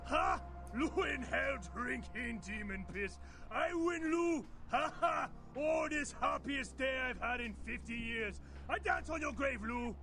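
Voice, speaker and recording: A man's voice: very high-pitched, measured pace, raised voice. A money-grubbing old video game character. He crows and cackles in broken English, gleeful and spiteful, with music playing behind him. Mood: gloating glee.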